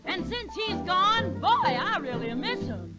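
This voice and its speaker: A sassy woman delivers a vintage swing song, moving between singing and slow spoken patter. Her voice is very high, over a loud band on an old record. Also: big pitch swings, over loud music. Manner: sassy, addressing other women.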